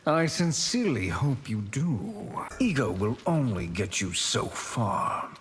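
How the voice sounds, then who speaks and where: Slow, haughty sorcerer villain. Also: male voice, mid-range voice, sing-song. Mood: disdainful. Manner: silky, condescending, deliberate. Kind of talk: dramatic fighting-game dialogue. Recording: reverberant hall with ambient noise.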